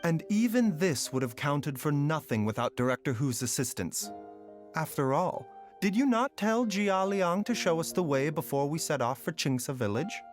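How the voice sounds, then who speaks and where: An actor playing a composed, courteous doctor with a measured, formal manner in an anime video game. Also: male voice, on the high side, big pitch swings, faint music behind. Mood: humble, earnest. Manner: measured, formal, softly erudite. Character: composed, courteous, quietly devoted male doctor.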